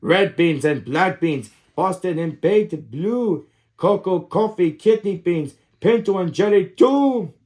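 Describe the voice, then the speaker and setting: A man's voice: sits high, animated, takes it slowly, a little mellow. Man doing a tiny emphatic Chihuahua bandit. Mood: worried. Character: smallest Chihuahua of a bandit gang, cheeky and fretful.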